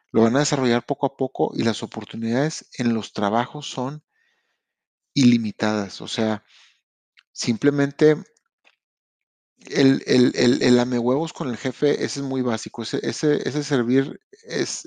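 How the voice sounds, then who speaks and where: A man's voice: mid-range voice, a little mellow, no room sound. Frank Mexican man with a slangy podcast talk. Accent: Mexican Spanish. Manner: frank and slangy, addresses listeners directly, with restarts. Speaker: podcast host. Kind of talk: casual motivational podcast monologue. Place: lives in Canada.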